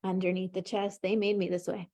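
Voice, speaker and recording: A woman coaching an emotional tapping session, calmly leading her client through short phrases to repeat, in an encouraging way. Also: medium pitch, fairly quick. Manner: calm, encouraging, leads with short prompts.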